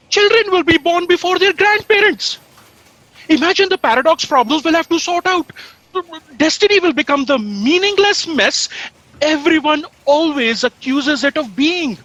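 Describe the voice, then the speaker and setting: A woman playing a dry, weary, sardonic assistant to a cosmic boss, deadpan but theatrically emphatic, in a comic sci-fi audio drama. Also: high-pitched, measured pace, no room sound, poor audio. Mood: ominous, scolding.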